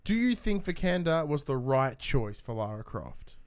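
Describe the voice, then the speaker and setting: A man with a fairly high, smooth voice co-hosts a podcast. He chats casually and passes questions along in a clear, clean tone. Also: big pitch swings, normal speed. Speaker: podcast co-host reading listener questions.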